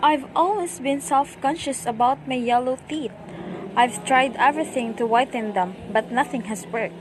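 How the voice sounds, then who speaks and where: A woman's voice: sits high, normal speed, natural voice. A woman playing a satisfied customer in a scripted commercial. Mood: confiding, pleased. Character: satisfied customer giving an ad testimonial. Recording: noisy, ambient background.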